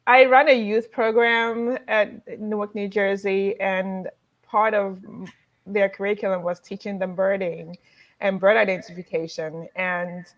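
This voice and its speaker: A woman, a game designer and educator, chatting on a video-call panel with hesitant pauses and fillers. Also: mid-range voice, flat delivery. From Nigeria. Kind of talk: casual panel chat. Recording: dull lo-fi sound.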